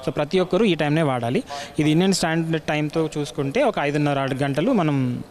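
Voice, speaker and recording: A man with a slightly high voice and an Indian accent gives explanatory interview answers as an air traffic controller. He speaks haltingly, feeling his way through each point and dropping in English terms. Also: expressive, a little mellow. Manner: halting, hesitant delivery mixing in English terms.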